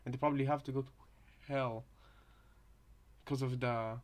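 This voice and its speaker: A man's voice: mid-range voice, fairly even intonation, normal speed. A man chatting casually as a co-commentator, tentative and hesitant as he works out his thoughts. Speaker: co-commentator reacting to voice lines. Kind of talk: casual chat.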